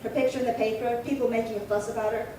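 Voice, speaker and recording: A woman's voice: a little high, flat delivery, very fast, warm tone, close to an everyday voice. Echoey, querulous old spinster played by a woman. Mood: aggrieved. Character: resentful, defiant spinster sister aged seventy-nine. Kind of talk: amateur re-creation of 1950s radio crime drama. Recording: roomy echo, distant mic, faint hiss.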